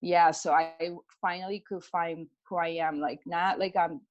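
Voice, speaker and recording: A middle-aged woman with a Japanese accent and a slightly low voice, speaking as a guest. She tells her story in an informal, conversational way, acting out little exchanges as she goes. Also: steady tone, a little slow, muffled recording. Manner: informal, peppers talk with "like" and acts out quoted exchanges. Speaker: guest, pianist and energy healer. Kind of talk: casual personal storytelling in an interview.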